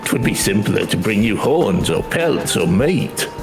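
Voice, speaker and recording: A man's voice: on the low side, sing-song, measured pace, vocal fry, over music, heavily acted. A man voicing a proud steppe tribesman with stately, archaic phrasing in a game. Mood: courteous.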